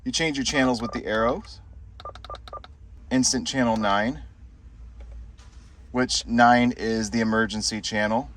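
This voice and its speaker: A man's voice: mid-range voice, steady tone, a little slow, long pauses, heavy background noise. A man hosting a product review video, talking to viewers in short, simple explanatory phrases. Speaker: host of a gear review channel.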